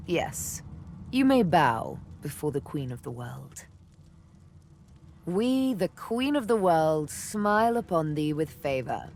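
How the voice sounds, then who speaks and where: A woman's voice: fairly low pitch, a little hiss or hum, heavily acted. A woman voicing a sardonic, vengeful elf huntress for a video game, with arch, teasing, theatrical phrasing. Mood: mock-regal, playful. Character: sardonic, vengeful female elf, former slave turned huntress. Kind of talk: video game character dialogue. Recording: background music under the voice.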